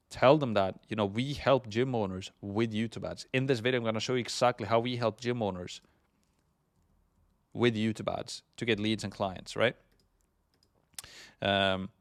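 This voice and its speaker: Swedish-accented man, course instructor, easygoing, heavy on fillers. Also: lowish voice. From Sweden. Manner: frequent fillers, "essentially" and "right?". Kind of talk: recorded marketing lesson.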